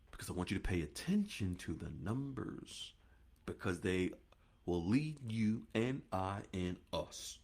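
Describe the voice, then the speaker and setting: A man hosting a finance podcast, talking straight to listeners in an emphatic, preachy monologue that keeps repeating points and calling them "folks.". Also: fairly low pitch.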